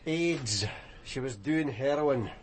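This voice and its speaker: A man's voice: medium pitch, expressive, takes it slowly, noisy background. An actor playing a cynical, plain-speaking male police detective, dry and blunt, in radio drama. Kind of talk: naturalistic radio drama acting.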